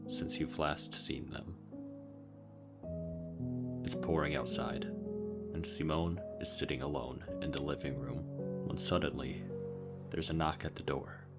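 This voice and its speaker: A man's voice: very deep voice, vocal fry. A man giving a scripted story recap as the narrator of a video essay. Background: loud guitar music.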